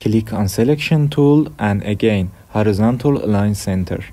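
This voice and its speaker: A young man from Iran with an Iranian accent, hosting a software tutorial with plain step-by-step instructions. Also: lowish voice, moderate pace. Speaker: tutorial host. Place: dim room at a desk.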